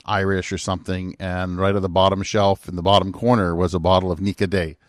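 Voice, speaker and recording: A man's voice: low voice, level tone, fairly quick, no room sound, studio quality. A man co-hosting a podcast in casual chat, teasing and asking pointed questions. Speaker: podcast co-host.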